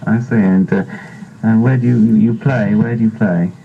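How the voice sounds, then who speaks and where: A teenage boy politely interviewing someone in a formal, halting way, heard from an old seventies tape. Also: on the low side, fairly even intonation, vocal fry, a little hiss or hum. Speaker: amateur interviewer. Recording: dull 1970s reel tape played back.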